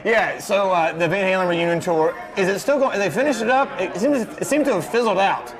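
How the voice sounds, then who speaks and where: A man's voice: sits high, lively intonation, brisk, crowd noise, rough recording. A man co-hosting a music podcast, chatting casually and giving his opinions in loose banter.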